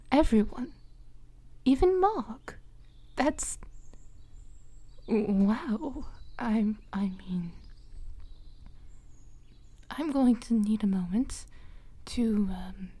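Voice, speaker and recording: A woman voicing a dramatic, affectionate female vampire companion in a soft, breathy half-whisper for a fantasy ASMR roleplay. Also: medium pitch, exaggerated voice. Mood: flustered, embarrassed. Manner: theatrical, hesitant, endearments.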